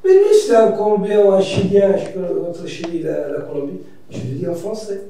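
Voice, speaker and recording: A Mauritanian writer speaking reflectively as a debate guest, pausing and restarting often. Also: male voice, high voice, animated, gravelly, dark tone. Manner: reflective, hesitant, many restarts and fillers. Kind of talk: debate discussion. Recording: echoey room from far off.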